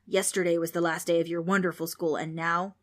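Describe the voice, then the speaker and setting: A woman reading aloud, voicing a loud, scornful male ghost who mocks children. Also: fairly low pitch, level tone, a little fast, natural voice. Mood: mocking. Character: bossy male ghost, gruff and mocking.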